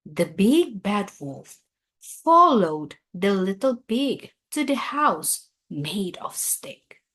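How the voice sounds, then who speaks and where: A woman reading a children's story aloud in a deliberate, expressive storytelling manner. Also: medium pitch.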